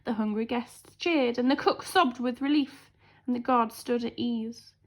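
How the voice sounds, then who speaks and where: A woman's voice: fairly high pitch, a little slow. A woman teacher reading a storybook aloud to young children with dramatic, emphatic expression.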